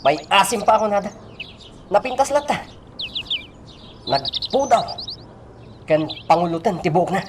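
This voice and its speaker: A man's voice: high voice, animated, measured pace, long pauses. A man with an Ilocano accent playing a brash, scheming, lecherous village thief in a radio drama. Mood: sly. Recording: faint noise with birdsong.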